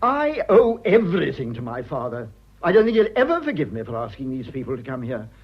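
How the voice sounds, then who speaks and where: An actor playing a prim, fussy, earnest son with an upper-class English accent in a radio drama. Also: male voice, mid-range voice, wildly swooping pitch, brisk, husky, dull audio, full character voice. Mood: anxious, aggrieved. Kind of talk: stage comedy of manners radio acting.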